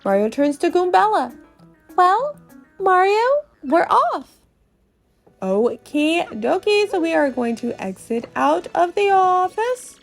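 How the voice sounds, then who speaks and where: A woman's voice: high-pitched, sing-song, a little slow, vocal fry, few pauses, a little mellow, faint music behind. A woman hosting and narrating a dramatic read-through of a video game. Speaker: podcast host and narrator.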